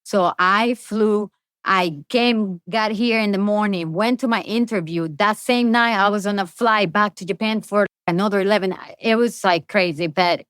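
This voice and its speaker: A woman's voice: medium pitch, normal speed, a bit bright, smooth, no room sound, studio quality. A middle-aged woman with a Venezuelan accent, a native Spanish speaker, talking casually and at length as a podcast guest. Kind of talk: casual interview conversation.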